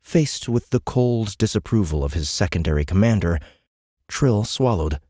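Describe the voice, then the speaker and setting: A man with a very low voice reads dramatic fiction as an audiobook narrator. The recording is dry and close. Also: lively intonation, normal speed, warm tone, no room sound.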